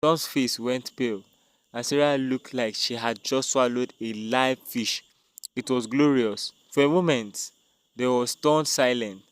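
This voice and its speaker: A man's voice: medium pitch, measured pace, smooth, no echo. A man narrating a dramatic scripted tale as a storyteller.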